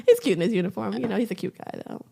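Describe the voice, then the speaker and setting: A woman's voice: medium pitch, huge pitch swings, very fast, creaky, mellow, slightly rough. Chatty young woman laughing a lot. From New Orleans. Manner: laughs often, lots of ums and likes. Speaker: guest. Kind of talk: casual podcast chat.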